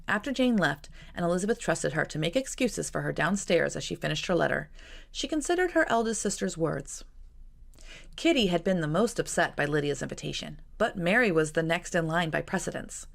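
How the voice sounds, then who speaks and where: A woman reading a novel aloud as narrator, with an expressive, measured, dramatic storytelling delivery. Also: lowish voice, creaky. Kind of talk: expressive scripted audiobook narration.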